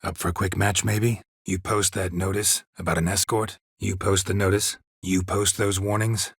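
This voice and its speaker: A gruff, world-weary mercenary monster slayer from a fantasy video game. His voice is very deep and heavily husky, almost all gravel. He speaks in terse, sardonic, deadpan phrases, recorded dry with no room sound. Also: moderate pace, no room sound. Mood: casual, probing. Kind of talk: video game character dialogue.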